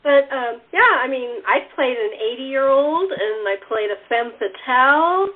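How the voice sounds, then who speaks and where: A woman hosting a casual cast interview, speaking informally and haltingly as she rephrases her questions. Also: high voice. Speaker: interviewer and fellow cast member. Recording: tinny, phone-like narrow band.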